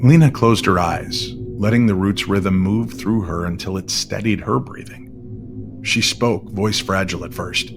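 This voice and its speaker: A man reading dramatic scripted narration in an old-time radio storytelling style, with a measured delivery. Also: sits low. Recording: music behind the voice.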